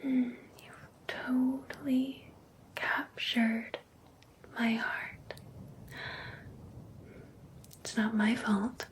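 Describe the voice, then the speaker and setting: Slow whispering obsessed vampire ex-girlfriend, acted by a woman. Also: a little high, steady tone, poor audio. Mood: possessive, menacing. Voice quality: soft, breathy whisper. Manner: slow, coaxing, teasing, lingering on endearments. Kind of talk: whispered ASMR roleplay.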